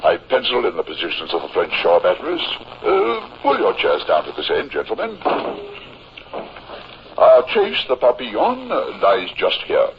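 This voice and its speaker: An actor playing a courteous, commanding frigate captain who hems and halts, in a 1950s radio drama. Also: male voice, fairly low pitch, huge pitch swings, background noise. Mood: courteous, authoritative. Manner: halting, murmuring uhs, formally polite. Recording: muffled, husky old radio recording.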